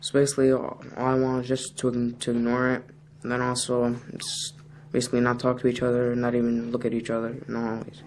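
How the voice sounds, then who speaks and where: A defensive high school boy acted by a male student in a school dramatization. His speech is casual and repetitive, in a mid-low young male voice with little variation. Light ambient noise sits behind him. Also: monotone, fairly quick, hardly any pauses, darkish tone, close to an everyday voice. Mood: resigned. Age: teenager. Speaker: student actor in a peer mediation dramatization. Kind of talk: acted school role-play dialogue. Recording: noisy room ambience.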